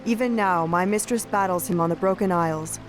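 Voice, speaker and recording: A woman's voice: lowish voice, a little fast, natural voice. Woman doing a young elf runner girl, loyal, earnest, formal, game cutscene w faint music. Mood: earnest, urgent. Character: earnest young female elven messenger, loyal and urgent. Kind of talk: dramatic video game cutscene acting.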